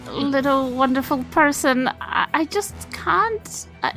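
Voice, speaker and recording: A woman talks casually as a player in a tabletop game, improvising as she goes. Her voice is rather high and crisply bright, over faint music. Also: animated, slow pace, poor audio. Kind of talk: casual chat, improvised play.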